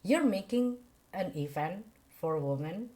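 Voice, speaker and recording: A woman's voice: lowish voice, animated, slow pace, a crowd in the background. A young woman from Indonesia with an Indonesian accent, hosting a casual interview and chatting with lots of fillers and laughs. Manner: chatty, laughing often, many fillers like "you know". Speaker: podcast host.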